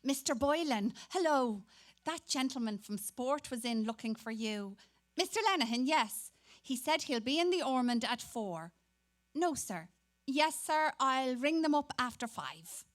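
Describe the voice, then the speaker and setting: A woman's voice: sits high, lively intonation, unhurried. A woman playing a bored, gossipy young typist who turns dutiful on the telephone, in a dramatic stage reading.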